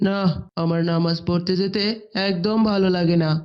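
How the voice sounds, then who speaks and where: A woman's voice: lowish voice, fairly even intonation, moderate pace, natural voice. A woman playing a stubborn, lazy boy in a moral cartoon, questioning what he is told. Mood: defiant. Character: stubborn, lazy boy who loves TV and cricket. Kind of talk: dubbed Islamic moral cartoon dialogue. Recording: dull, lo-fi sound.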